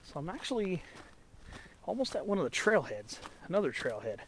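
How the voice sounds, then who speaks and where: A man's voice: sits high, big pitch swings, brisk, fairly bright tone, slight background noise. A congested young man from Southern California, narrating a hike as a vlogger in a casual, enthusiastic, rambling way, recorded outdoors on a camera as he walks. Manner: enthusiastic, rambling, botanical asides. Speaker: hiking vlogger. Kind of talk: casual walk-and-talk narration. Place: outdoors in a canyon on a trail.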